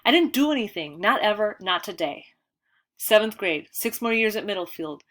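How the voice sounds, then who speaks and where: A woman voicing an angry, bullied seventh-grade boy in an audiobook, protesting loudly in rising outbursts. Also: fairly low pitch, moderate pace. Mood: furious. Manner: loud, pleading, rising outbursts. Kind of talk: audiobook character voice.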